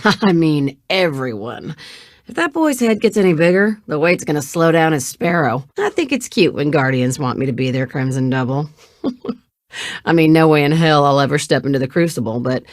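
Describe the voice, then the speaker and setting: A woman's voice: on the low side, no echo, studio quality. An actress voicing a spirited young woman ship pilot and mechanic in a video game, with casual, familiar, folksy banter. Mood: amused. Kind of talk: video game character dialogue.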